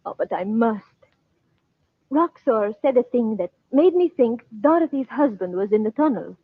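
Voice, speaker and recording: An actress playing an anxious, devoted Egyptian princess in an old-time radio drama, with formal, foreign-sounding phrasing. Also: female voice, fairly high pitch, animated, moderate pace. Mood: earnest, urgent. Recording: thin, roomy, phone-like old radio recording.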